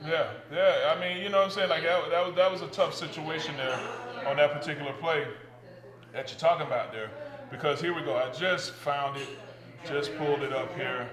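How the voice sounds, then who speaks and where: Man, 50s, podcast co-host; higher-pitched, rambling and relaxed, echoey room with crowd behind. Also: brisk. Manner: relaxed, rambling, with frequent fillers and laughs. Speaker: podcast co-host, former offensive lineman. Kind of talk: casual sports chat.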